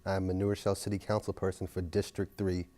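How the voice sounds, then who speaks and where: A man, a city council member, speaking earnestly and at a measured pace in an interview. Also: low voice, monotone, warm tone. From New York. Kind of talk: interview reflection.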